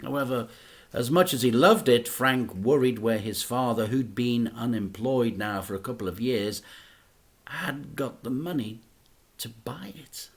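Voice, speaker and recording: A man, a teacher, reading a children's book aloud in an expressive, dramatic storytelling manner. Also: medium pitch, unhurried.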